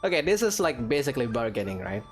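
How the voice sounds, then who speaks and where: A woman with an Indonesian accent hosts casual gameplay commentary. Her voice is extremely low for a woman, with animated, playful intonation and a chatty flow. Faint music sits behind her. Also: fast talker. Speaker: gaming video host.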